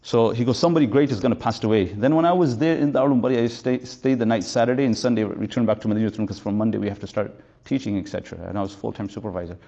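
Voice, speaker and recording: A man's voice: on the low side, racing, warm tone, dull audio. A man of fifty giving an informal religious lecture as a teacher, rambling through anecdotes and mixing in Arabic and Urdu terms. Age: 50s.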